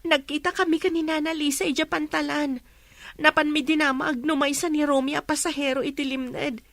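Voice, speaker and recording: A woman's voice: sits high, fairly even intonation, fairly quick, fairly bright tone, no room sound. A woman playing an earnest young Ilocano woman. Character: caring young village woman searching for news. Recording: clear crowd noise behind.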